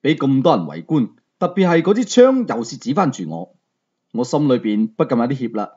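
A man's voice: medium pitch, sing-song, a little fast, darkish tone, husky, dull audio. A man with a Hong Kong Cantonese accent narrating a novel as a dramatic storyteller. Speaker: audiobook storyteller.

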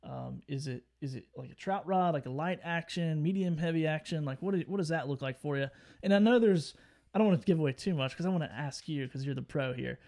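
A man's voice: on the high side, fairly quick, creaky, a little mellow. A young adult man co-hosting a podcast, asking laid-back, rambling questions over a video call. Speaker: co-host. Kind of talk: casual podcast chat.

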